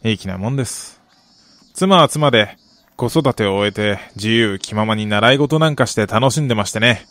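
An actor playing a middle-aged, wistful gambling soccer fan in a radio drama, polite and measured with a rueful, quietly menacing edge. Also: male voice, on the low side, no room sound, natural voice. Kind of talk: Japanese radio drama acting.

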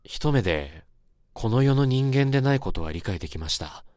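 A man's voice: medium pitch, expressive, moderate pace, no room sound, clean studio sound, lightly acted. A man acting as the haunted narrator of a radio horror drama, recounting an eerie dream in a dramatic monologue. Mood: hushed, wistful. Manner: dramatic, swelling from hushed to intense. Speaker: narrator and main character. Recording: storm and rain noise behind.

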